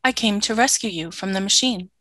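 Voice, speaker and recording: A woman's voice: mid-range voice, brisk, a bit bright, no echo, natural voice. Woman as dry-sounding, formal alien soldier woman. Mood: flat, dutiful. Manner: formal, clipped, literal. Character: stern, obedient female alien soldier, calm and literal. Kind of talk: radio play acting.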